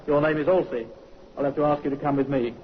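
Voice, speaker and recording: A man playing a brisk police sergeant. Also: fairly high pitch, fairly even intonation, slight background noise, close to an everyday voice. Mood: firm. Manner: brisk, firm. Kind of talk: 1950s radio acting. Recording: muffled vintage radio recording.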